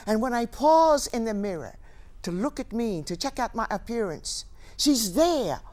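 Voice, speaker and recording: An actress plays an older woman telling her life story on stage, wry, wounded and defiant. Her voice sits fairly high and moves through wide, emphatic swings. She takes her time, leaving deliberate, dramatic pauses between phrases. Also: big pitch swings, darkish tone. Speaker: stage actor performing a monologue. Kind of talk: theatrical dramatic monologue.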